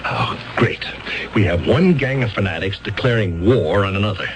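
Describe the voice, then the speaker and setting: An actor playing a flustered defense attorney who stammers and sounds excitable and incredulous in a 1970s radio drama. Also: male voice, on the low side, normal speed, noisy background, close to an everyday voice.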